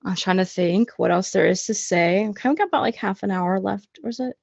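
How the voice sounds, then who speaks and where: A woman's voice: mid-range voice, fairly quick, creaky, darkish tone, no echo. A woman teaching a casual online class, chatty and self-deprecating, over a muffled video call. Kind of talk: casual live online lesson.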